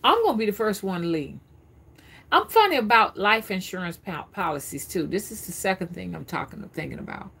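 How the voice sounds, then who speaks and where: A woman's voice: medium pitch, very animated, fairly quick, gravelly, a bit bright, slightly rough. A woman in her fifties talking casually on a live stream, rambling and often repeating her words as she goes. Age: 50s. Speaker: spiritual reader streaming live.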